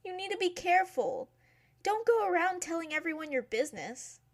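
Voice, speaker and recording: A woman's voice: extremely high pitch, big pitch swings, fairly quick, full character voice. An actress playing a protective young maid friend in an audio drama, talking in a cautioning, motherly way. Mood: warning.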